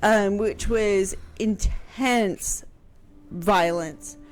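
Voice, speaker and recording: A woman's voice: mid-range voice, extremely slow, soft background music. A woman explaining history in casual conversation on a radio show, piecing her sentences together hesitantly. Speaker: history show host answering questions.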